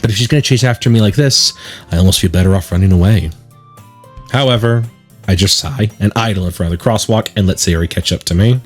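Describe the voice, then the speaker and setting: A man's voice: on the low side, fast, faint music behind. A man hosting a let's play, chatting and explaining casually and reading game text aloud.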